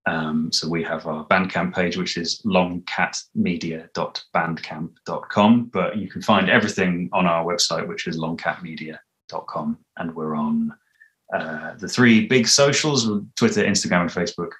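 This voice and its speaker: Man, 30s, very low voice, podcast creator in a casual interview, halting explanatory delivery. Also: a bit bright, poor audio. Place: small indoor room at home. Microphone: video call, computer at arm's length.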